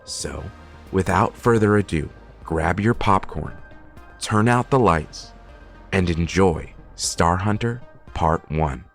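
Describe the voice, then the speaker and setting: Low-pitched man introducing an audio show. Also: lively intonation, takes it slowly, gravelly, soft background music. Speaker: host and adapter of the show. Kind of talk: scripted narration introduction.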